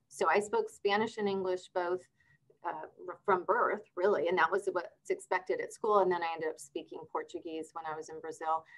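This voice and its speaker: A woman's voice: lowish voice, rough recording. A middle-aged woman, born in Argentina, telling her life story as a guest on a video-call interview. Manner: upbeat, explanatory, storytelling. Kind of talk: casual interview talk. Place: office with window blinds.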